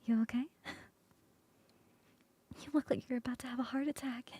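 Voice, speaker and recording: A woman voicing a flirty female coworker in an ASMR roleplay, close to the mic in a soft, playful whisper. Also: high-pitched, steady tone, normal speed, husky. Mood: teasing whisper.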